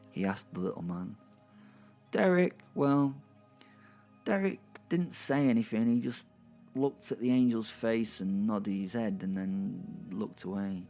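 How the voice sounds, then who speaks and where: A man's voice: lowish voice, dark tone. A man narrating a dramatic story in the first person, conversational and wry, with rambling, chatty asides. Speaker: narrator and host.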